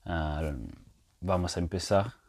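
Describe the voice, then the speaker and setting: A man's voice: low-pitched, steady tone, slow, darkish tone. A man teaching as a podcast host, explaining step by step and thinking aloud, with frequent fillers and restarts. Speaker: language teacher.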